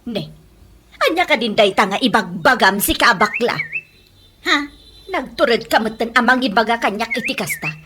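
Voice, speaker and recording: High, animated, touchy Filipino mother played by a woman. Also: moderate pace, background noise, natural voice. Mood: indignant. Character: stubborn, touchy middle-aged mother fond of meat. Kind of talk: Ilocano radio comedy drama acting.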